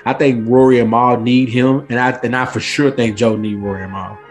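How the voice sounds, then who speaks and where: A man's voice: medium pitch, fairly even intonation, fairly quick, soft background music. A man in a casual podcast debate, giving his opinions informally and repeating phrases for emphasis. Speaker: guest podcaster.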